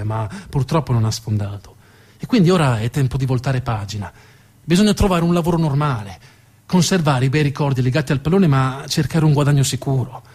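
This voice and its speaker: A man delivering a dramatic narrative theatre monologue with emphatic, deliberate phrasing. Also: mid-range voice, darkish tone, breathy edge. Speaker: stage storyteller, actor.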